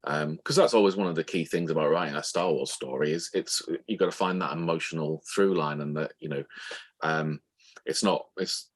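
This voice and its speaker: A man's voice: low voice, brisk. A man speaking as an interview guest, thoughtfully explaining his writing in a conversational way, often rephrasing mid-sentence. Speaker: interview guest, author of novels and audio dramas.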